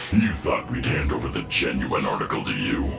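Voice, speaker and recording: An actor playing a menacing, sly male villain in a video game, with a very deep gravelly voice and a deliberate, sardonic way of talking. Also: exaggerated voice. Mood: mocking. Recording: roomy echo, background music.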